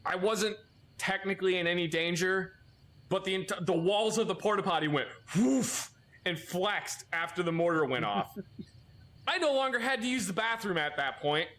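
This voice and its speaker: A man casually telling military anecdotes, animated, with laughing asides. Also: high voice, normal speed. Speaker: podcast guest, military veteran. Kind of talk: casual storytelling.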